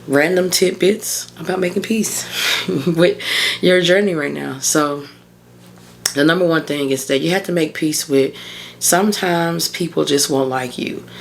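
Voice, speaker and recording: Hoarse woman in her thirties, chatty. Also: low voice, a little hiss or hum. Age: 30s. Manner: chatty, informal, encouraging, addresses listeners as y'all. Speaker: podcast host and mindset coach. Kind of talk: casual solo podcast talk.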